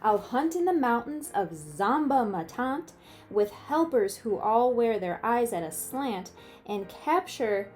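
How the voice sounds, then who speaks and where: Storybook boy dreamer played by a woman: boastful, eager, singsong rhymes, mellow and slightly high. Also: very animated, slow pace, soft background music.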